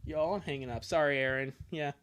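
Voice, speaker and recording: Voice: medium pitch, brisk, a bit bright, smooth. A streamer chatting casually between dub readings, rambling and exclaiming with giggly joking asides.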